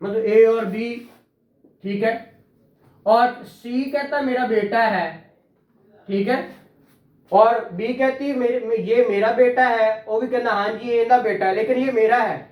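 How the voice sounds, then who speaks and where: A man's voice: very high voice, steady tone, takes it slowly, lo-fi sound. A man with a Pakistani Punjabi accent lecturing on law to a class, repeating key phrases and prompting students with questions. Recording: echoey, reverberant room.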